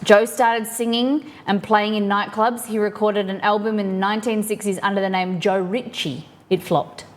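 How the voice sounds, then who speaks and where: A woman's voice: mid-range voice, fairly even intonation, moderate pace, few pauses. Chatty woman streamer in her kitchen. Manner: chatty, reads trivia aloud to viewers. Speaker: streamer host. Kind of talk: casual live-stream chat.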